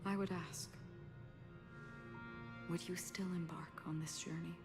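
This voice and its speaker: Casting: a solemn female guide in a story game, low breathy delivery, philosophical and gentle, over clear music. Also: fairly even intonation. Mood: gravely questioning. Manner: slow, measured, philosophical. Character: solemn, gentle, enigmatic woman guiding others out of a dream. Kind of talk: video game story dialogue acting. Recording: loud music under the voice.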